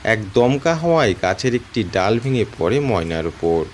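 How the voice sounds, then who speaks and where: A man's voice: fairly low pitch, very animated, normal speed, slight background noise. A man narrating a scripted cartoon story as a storyteller.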